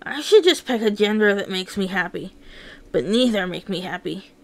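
A woman's voice: mid-range voice, animated, normal speed. A woman narrating a first-person story read-along in an emotive, theatrical way.

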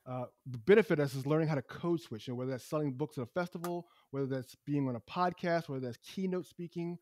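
A man hosting a podcast interview, animated and acting out little everyday scenes as he talks. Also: fairly high pitch, fast talker. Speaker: podcast host.

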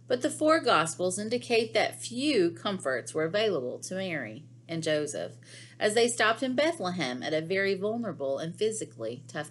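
A middle-aged woman reading devotional material aloud in a measured, deliberate way with pauses between phrases. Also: on the low side. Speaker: devotional reader. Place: small living room at home, indoors.

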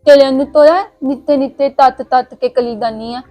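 A sulky, lisping grown woman who still acts like a small child, played by a woman. She speaks with a Punjabi accent in a high, babyish voice. Faint music plays underneath. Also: fairly even intonation, moderate pace, dark tone, close to an everyday voice. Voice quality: lisping baby-talk speech. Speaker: married younger sister. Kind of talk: comic family skit acting.

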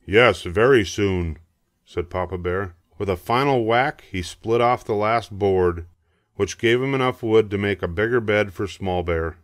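An older man narrating a children's storybook, reading aloud in a measured, expressive way. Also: on the low side, dry recording, studio quality.

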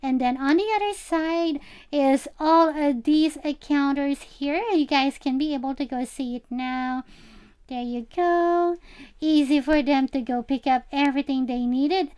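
A woman's voice: high-pitched, a little slow, darkish tone. A woman giving a casual, chatty game tour as a channel host, speaking directly to viewers.

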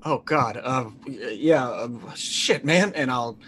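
Roleplay player, male, 30s, medium pitch, hesitant improvised delivery, faint background noise. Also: slow pace, fairly bright tone. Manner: hesitant, broken phrasing. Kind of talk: improvised in-character roleplay and narration.

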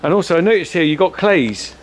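A man's voice: a little high, lively intonation, brisk. A middle-aged man, chatting casually and asking curious questions while touring a store on a handheld camera. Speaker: farmer and vlogger touring a store. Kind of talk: casual chat. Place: large indoor warehouse with shelving. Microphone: camera mic, handheld close-up. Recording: warehouse with clear background noise.

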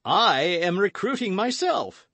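A man's voice: high voice, big pitch swings, slow, bright, forceful tone, no echo, voice pushed up, heavily acted. A man voicing a boastful, hearty gourmand musketeer with a grand, exclamatory delivery in a storybook reading. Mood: hearty, expansive.

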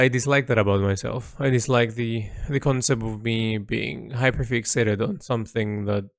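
Man, creaky voice, reflective monologue, halting delivery, searching for words, dry close sound. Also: mid-range voice, no room sound. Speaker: artist narrating an audio log.

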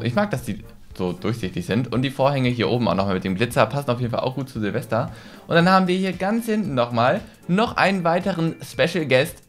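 Casting: male video host, twenties, medium pitch, chatty game walkthrough, close mic at home, music underneath. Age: 20s. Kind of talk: casual playthrough commentary to viewers. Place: small furnished room at home. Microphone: desk microphone close by. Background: background music.

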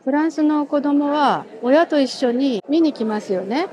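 A woman's voice: high voice, expressive, a little slow, a little mellow. A woman giving casual commentary to viewers while she films her walk through a bonsai expo. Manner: chatty, talks to viewers while filming. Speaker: vlogger touring a bonsai expo. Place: bonsai pavilion and garden tents, partly outdoors. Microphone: handheld camera, speaker off screen.

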